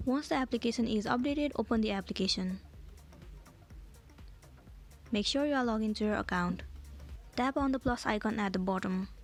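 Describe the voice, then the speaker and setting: Lady doing a how-to voiceover, kinda high voice, talks quick, step by step instructions. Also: faint music behind. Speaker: tutorial host. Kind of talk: scripted how-to tutorial narration.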